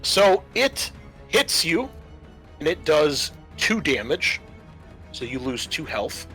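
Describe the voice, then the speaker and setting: A man runs a tabletop roleplaying game as game master. His voice is bright and forceful, and he lays out the rules methodically, step by step. Music plays behind him. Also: fairly high pitch, big pitch swings, takes it slowly. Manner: methodical, explanatory.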